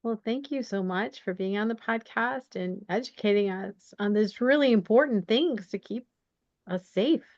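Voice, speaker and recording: A woman's voice: mid-range voice, moderate pace, dry recording. A woman hosting a podcast and putting conversational questions to a guest. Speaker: podcast host and interviewer. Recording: dry, dull lo-fi sound.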